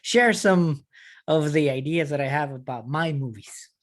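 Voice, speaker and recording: A man's voice: on the high side, measured pace. A man from Mexico, a guest on a movie podcast, speaking in a polite, careful way.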